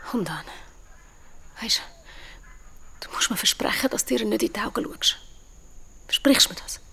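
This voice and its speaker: A woman's voice: on the high side, animated, moderate pace, gravelly, sharp tone, whispered, heavily acted. A woman playing an anxious, stern grandmother in a horror audio drama. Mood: urgent warning.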